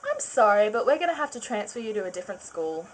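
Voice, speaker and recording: A woman's voice: mid-range voice, big pitch swings, quick pace, low-quality recording. A woman voicing an uneasy, stiff school principal breaking bad news. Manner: hesitant, stiff.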